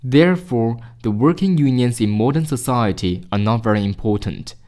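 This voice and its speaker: A man reading short exam passages aloud as a narrator, in a measured, formal manner. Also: medium pitch, expressive, warm tone.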